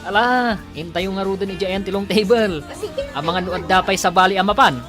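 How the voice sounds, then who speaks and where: A man's voice: high-pitched, normal speed, over music, natural voice. A man playing a joking, food-minded village wedding guest in an Ilocano radio comedy. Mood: jokey.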